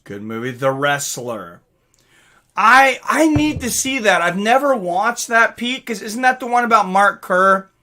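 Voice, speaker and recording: Man, livestream host, higher-pitched, bright forceful voice, casual, excitable, informal, reacting to chat. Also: unhurried.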